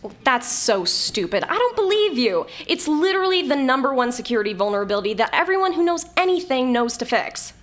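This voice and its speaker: Actress as a sardonic, high-voiced, very animated woman. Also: a little fast, few pauses. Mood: skeptical. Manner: exasperated, sarcastic. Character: irritable, sardonic old woman restored to a youthful form. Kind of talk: scripted audio drama.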